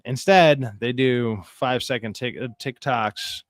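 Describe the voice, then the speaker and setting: A man's voice: medium pitch, measured pace, dry recording, clean studio sound. A man in his thirties hosting a livestream talk show, chatting casually and giving exasperated, opinionated rants. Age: 30s.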